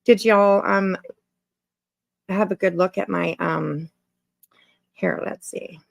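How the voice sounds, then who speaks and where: A woman's voice: medium pitch, steady tone, slow, vocal fry, dry recording. A woman hosting a casual livestream, chatting informally to viewers in a rambling way and repeating her phrases. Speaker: livestream host.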